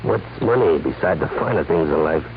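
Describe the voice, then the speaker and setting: A man's voice: rumbling low, expressive, normal speed, creaky, full character voice. An actor playing a boastful, glib gunman who passes as a sweet talker, in 1940s radio crime drama style. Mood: smooth, boastful. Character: cocky, vicious gunman posing as a smooth-talking sweetheart. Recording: noisy, tinny 1940s radio recording.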